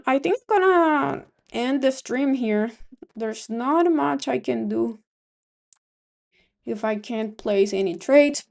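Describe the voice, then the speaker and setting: A woman from Venezuela with a Venezuelan Spanish accent, hosting a live trading stream in casual, think-aloud commentary full of ums. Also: on the high side. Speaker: livestream trading host.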